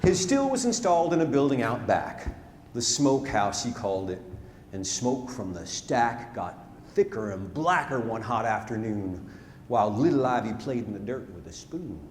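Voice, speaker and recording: Man, stage storyteller, rhyming verse, dramatic swells and hushes, lively pitch, big echoing theatre, some background noise. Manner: dramatic, deliberate delivery with swelling and hushed phrases. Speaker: storyteller performing a narrative poem. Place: large theatre, live stage performance. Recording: live theatre stage, long reverb, noisy.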